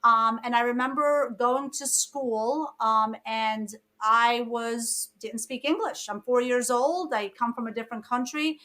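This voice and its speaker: A woman's voice: fairly high pitch, unhurried, hardly any pauses. A woman, a podcast guest telling stories about her life and acting out the lines of the people in them. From born in Egypt, raised in Brooklyn. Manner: lively storyteller who acts out quoted lines. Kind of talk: casual interview chat.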